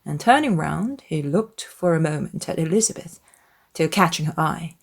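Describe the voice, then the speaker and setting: A woman narrates a classic novel as a dramatized audiobook. Her voice is a little low, and she reads in a literary storytelling style. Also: lively intonation, moderate pace, vocal fry.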